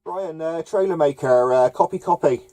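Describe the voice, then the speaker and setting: High-pitched man on CB radio. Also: heavy background noise. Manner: hesitant, halting delivery. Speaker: amateur CB radio operator running contacts. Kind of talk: casual radio chat with call signs and jargon. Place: camper van up in the hills.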